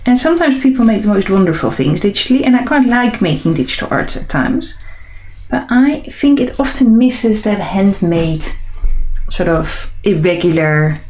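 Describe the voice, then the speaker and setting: A woman's voice: mid-range voice, big pitch swings, normal speed, a little mellow, a little hiss or hum, poor audio. A woman with a Dutch accent, an artist and host chatting casually while she sketches, musing and meandering with frequent ums and restarts. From Netherlands.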